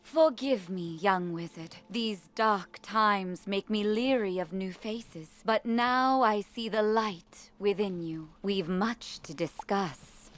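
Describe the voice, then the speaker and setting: A woman voicing a wise, gentle, solemn seraph guardian in a fantasy video game, formal and measured. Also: mid-range voice, natural voice. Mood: solemn, kind. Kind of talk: video game character acting.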